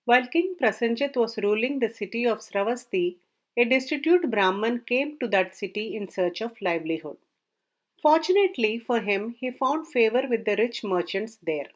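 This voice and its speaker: A woman with a high-pitched voice reads a traditional tale as a storyteller. Her delivery is scripted narration, told line by line for listeners. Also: muffled recording.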